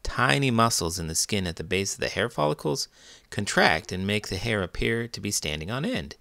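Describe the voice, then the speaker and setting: A man narrating a children's story as read-aloud host, expressive and deliberate. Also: fairly low pitch, creaky, dry recording.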